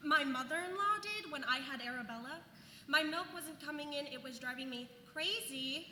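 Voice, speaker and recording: A woman's voice: sits high, moderate pace, pushed and bright. A woman acting a chatty, fed-up colonial young mother in a staged play reading, gossipy and sarcastic in modern casual speech. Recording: echoey hall, faint noise.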